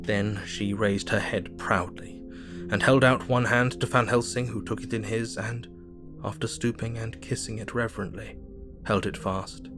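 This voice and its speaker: An actor playing a sombre, observant Victorian diarist, narrating in a measured, grave manner in an audio drama. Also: male voice, lowish voice, steady tone, over music, natural voice. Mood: compassionate.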